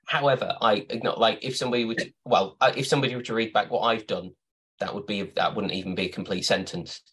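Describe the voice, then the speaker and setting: A man's voice: lowish voice, steady tone, fast talker, a bit bright, breathy edge. A man hosting a podcast, telling rambling, playful stories in casual chat. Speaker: podcast host.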